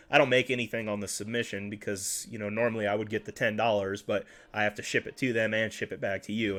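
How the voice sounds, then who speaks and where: A man's voice: on the low side, a bit bright. Slightly nasal man chatting on a livestream. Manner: chatty, rambling, with self-corrections. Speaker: card-collecting livestream host. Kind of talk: casual livestream chat with viewers.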